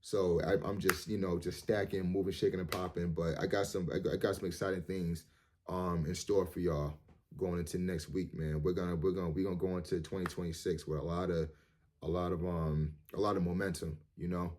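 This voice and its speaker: A man in his thirties with a low, deep voice talks straight to his viewers. He rambles and restarts, repeats words, and fills his pauses with "um" and "you know". His tone stays earnest and motivational. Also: steady tone, darkish tone, slight background noise. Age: 30s. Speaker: vlogger. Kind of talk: casual monologue to camera.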